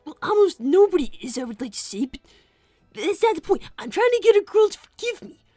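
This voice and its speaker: A woman's voice: very high voice, very animated, brisk, dark tone, dry recording, soft background music. A woman voicing an anxious, earnest young boy fox who stammers defensively on a character livestream. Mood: awkward, hesitant. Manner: stammering, flustered. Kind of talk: improvised character livestream with donation reading.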